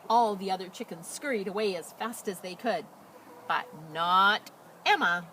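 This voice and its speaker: A woman speaking as a school storyteller outdoors, explaining and reading in a simple, animated way for children. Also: mid-range voice, moderate pace. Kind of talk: casual introduction and expressive children's read-aloud. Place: outdoors beside a chicken coop. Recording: noisy outdoor recording with animal sounds.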